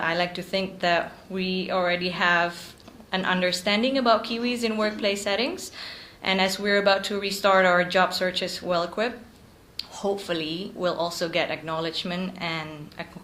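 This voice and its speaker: A woman's voice: lowish voice, fairly bright tone, roomy sound, slight background noise. A woman in her thirties from Indonesia, giving an earnest prepared speech at a club meeting, with frequent ums. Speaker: guest speaker.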